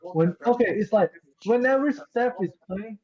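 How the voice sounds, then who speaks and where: Casting: man, high and lively pitch, exasperated, low muffled mic. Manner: exasperated, rapid rhetorical questions. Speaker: guest. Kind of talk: casual chat.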